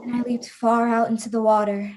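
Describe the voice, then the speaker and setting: An actress playing a hunted adventurer in a radio drama, telling of the escape with suspense and defiance. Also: female voice, fairly high pitch, level tone, fairly quick, a little mellow, slight background noise, natural voice. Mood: tense, desperate. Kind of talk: first-person radio drama narration.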